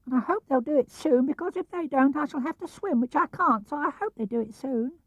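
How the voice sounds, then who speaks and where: A man's voice: very high voice, fairly quick, a bit bright, no echo, pitched above the performer's own voice. A man voicing a small, timid, anxious young piglet in a storybook reading, with a plaintive, fretful manner. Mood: wistful, worried. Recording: muffled old cassette or vinyl transfer.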